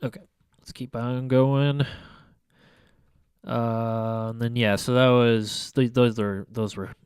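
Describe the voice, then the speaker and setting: A man's voice: medium pitch, steady tone, slow, darkish tone, smooth, no room sound, studio quality. A young man with a stuffy, congested nose, casually reviewing his photos in a rambling, hesitant way full of fillers and restarts. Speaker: photography vlogger. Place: small room at home by a window.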